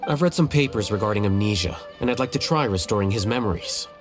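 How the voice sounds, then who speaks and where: A man voices a coolly rational young scholar from a fantasy video game. His voice is low and his delivery dry, blunt and deadpan. Music plays clearly behind him. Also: quick pace, close to an everyday voice. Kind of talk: video game character acting. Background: prominent background music.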